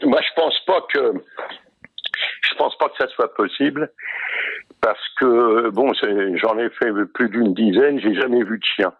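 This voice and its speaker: Older guy on a muffled phone, husky creaky voice, chatting casually, repeats himself a lot. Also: medium pitch, a little slow, no room sound. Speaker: entertainer, cruise performer. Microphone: telephone. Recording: dull, muffled phone line.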